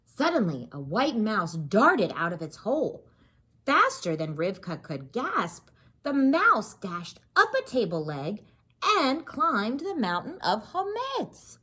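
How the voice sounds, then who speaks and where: Husky-voiced woman reading aloud, very expressive. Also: on the high side, slow. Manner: expressive, explains and asks questions to young listeners. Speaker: teacher reading a story to children. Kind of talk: animated read-aloud of a children's story with asides.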